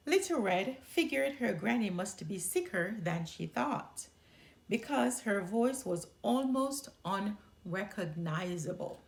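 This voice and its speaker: A woman narrating a children's story as a storyteller, expressive and deliberate. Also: mid-range voice, vocal fry.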